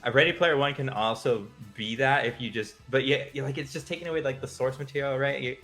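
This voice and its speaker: A man chats casually as co-host of a live stream. His voice is medium in pitch and his talk is informal and conversational, with faint music behind him. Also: quick pace, fairly bright tone.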